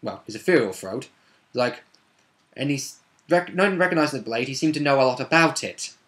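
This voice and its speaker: A man casually recapping a tabletop roleplay session as one of its players, in a rambling, halting, unscripted way. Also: mid-range voice, lively intonation, fairly bright tone.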